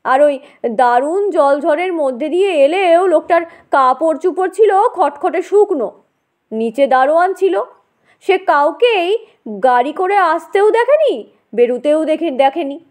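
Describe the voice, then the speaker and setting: A woman's voice: very high-pitched, animated, a little slow, a little mellow. A woman voicing a prim, devout, offended spinster in a story, with a dry, stiff manner.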